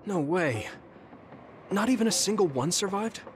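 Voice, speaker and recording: Earnest young soldier hero. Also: male voice, high-pitched, lively intonation, normal speed, over music. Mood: concerned. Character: kind, protective, earnest young male soldier comrade. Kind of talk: anime-style video game dub acting.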